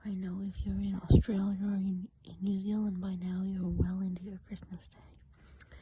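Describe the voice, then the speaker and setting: A woman speaking in a soft, breathy whisper, chatting casually as an ASMR host. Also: medium pitch, little pitch movement, normal speed, muffled recording. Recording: faint background noise.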